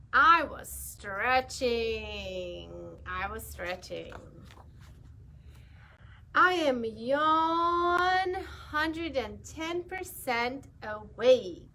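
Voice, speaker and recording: Lady doing a loud wheedling pigeon kid, high and bouncy, won't go to bed. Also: full character voice. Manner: wheedling, pleading, loud bargaining. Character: pushy stubborn young male pigeon who won't sleep.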